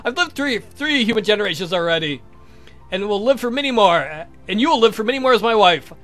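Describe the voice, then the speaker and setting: A man's voice: high voice, expressive, a little fast, fairly bright tone, soft background music. A man voicing a courtly, lovestruck vampire count from a visual novel game, speaking formally and earnestly. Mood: earnest reassuring. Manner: formal, verbose, confessing.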